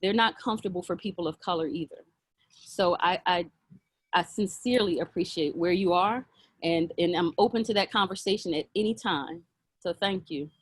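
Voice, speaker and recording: A woman sharing a personal reflection with a group on a video call, earnest and halting, with many fillers and restarts. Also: on the low side, slightly rough, dry recording. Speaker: circle participant.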